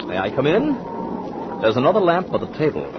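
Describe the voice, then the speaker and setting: A secretive, unsettling gentleman with smooth, icy manners. His mid-pitched voice moves widely. The old radio recording is muffled and full of hiss. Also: huge pitch swings, a little fast. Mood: coolly menacing. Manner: smooth, coolly formal. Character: eerie, cold husband, secretive and unsettling. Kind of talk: 1940s radio acting.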